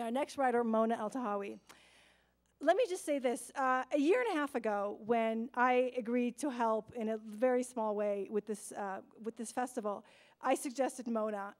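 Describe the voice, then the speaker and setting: A woman hosts a literary evening from a lectern in a large hall. Her voice sits high, and she introduces the next speaker with playful, joking asides to the audience. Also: darkish tone. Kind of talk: stage introduction. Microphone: lectern microphone seen from a far camera.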